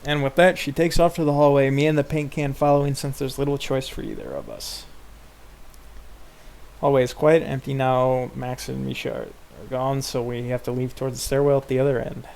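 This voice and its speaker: A man reading visual novel narration aloud unrehearsed, halting and stumbling over the text now and then. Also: medium pitch, vocal fry, a little mellow. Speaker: narrator in a group playthrough.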